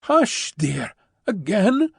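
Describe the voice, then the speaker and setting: A man voicing a gentle, anxious young woman who speaks in a pleading, faltering way. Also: high-pitched, big pitch swings, very slow, creaky, husky, no echo, studio quality, voice pushed up, heavily acted.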